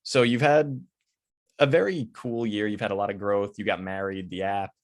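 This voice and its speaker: A man's voice: on the low side, steady tone, normal speed, dry recording, clean studio sound. A man interviewing a guest in a friendly, supportive way. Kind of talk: conversational interview.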